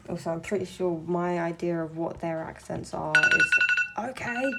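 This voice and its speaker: A woman's voice: fairly low pitch, normal speed, very noisy. A young woman streaming while she draws, chatting casually in a blunt, sweary, ranting way. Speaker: streamer and artist.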